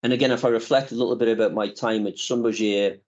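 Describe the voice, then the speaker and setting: A man in his fifties, a company chief executive, answering interview questions in a measured, reflective way with frequent pauses. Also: medium pitch, steady tone, a little mellow, highs cut off.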